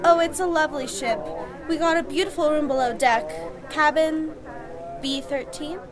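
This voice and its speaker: A woman playing an excitable, anxious young newlywed bride in a radio horror play. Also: high-pitched, normal speed, crowd noise, lightly acted. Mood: startled, polite. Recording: music under the voice.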